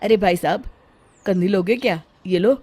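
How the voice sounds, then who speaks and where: A woman voicing a pushy male street comb seller with loud hawking calls in a cartoon story. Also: medium pitch, moderate pace, breathy edge, close to an everyday voice. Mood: casual, teasing.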